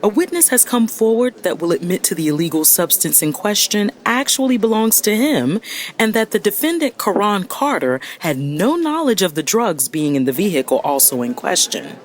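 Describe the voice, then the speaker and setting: An actress playing a fiery, exasperated lawyer and big sister, speaking emphatically in a dramatic audio story. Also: female voice, medium pitch, big pitch swings, moderate pace, natural voice. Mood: composed, insistent. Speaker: audiobook narrator. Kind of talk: dramatic first-person story narration.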